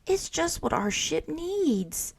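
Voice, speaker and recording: A woman's voice: slow pace, creaky, no echo, full character voice. A woman reading aloud and voicing an eager young boy turtle with a raised, pleading voice. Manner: high, excited pleading.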